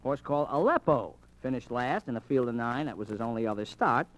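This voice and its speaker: A man playing a slangy, streetwise small-time American bookie in an old-time radio drama, chatty and animated. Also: mid-range voice, fairly quick, close to an everyday voice. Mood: chatty, confident.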